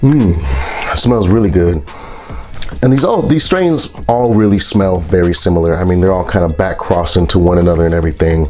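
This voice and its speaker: A man with a congested, stuffy voice from a sinus infection, reviewing products in a relaxed, rambling vlog. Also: low voice, moderate pace. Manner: relaxed, rambling, with frequent fillers.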